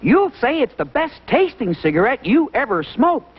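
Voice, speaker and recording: A woman's voice: high-pitched, sing-song, moderate pace, husky. A woman delivering scripted lines in a 1950s commercial. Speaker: commercial performer. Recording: muffled vintage broadcast, long reverb, music.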